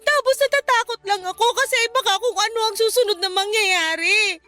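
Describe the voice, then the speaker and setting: Tearful young wife. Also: female voice, very high voice, a little fast. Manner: tearful, pleading, breaking into sobs. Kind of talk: radio drama acting. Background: soft background music.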